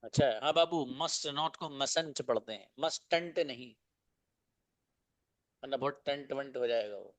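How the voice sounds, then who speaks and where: A man's voice: medium pitch, slow pace, long pauses, dry recording. A man teaching an online class, calling on students one by one and giving short, encouraging feedback. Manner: brief, encouraging, addresses students as beta and babu.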